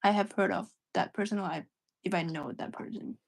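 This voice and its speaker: A woman's voice: medium pitch, fairly quick, creaky. A young woman with a mix of Cantonese and British English accent, chatting casually and giggling, with lots of "like" fillers and trailing sentences. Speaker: livestream co-host. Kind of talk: casual chat with partner.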